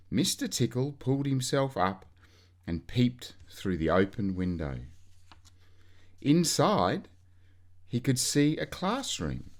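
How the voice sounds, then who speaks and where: Slow, animated male children's storyteller. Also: medium pitch. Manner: expressive, drawn-out emphasis on key words. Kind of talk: scripted read-aloud of a children's storybook.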